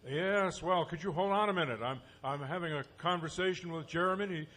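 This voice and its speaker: Man playing a high-voiced, hesitant old scientist father. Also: a little fast, a bit bright, natural voice. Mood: flustered. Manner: hesitant, stammering. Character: flustered elderly father, hesitant scientist doctor. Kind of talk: live comedic audio theatre parody. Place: stage, live performance.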